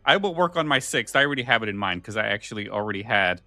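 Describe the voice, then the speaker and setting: A man's voice: on the low side, expressive, quick pace, a loud crowd. A man hosting a podcast in casual chat, often backtracking and repeating phrases as he goes. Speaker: podcast host.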